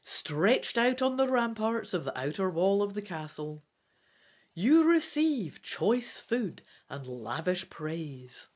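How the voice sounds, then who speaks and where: A gamebook reader and host giving dramatic, deliberate storybook narration with casual asides. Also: mid-range voice, very animated. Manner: theatrical, deliberate phrasing.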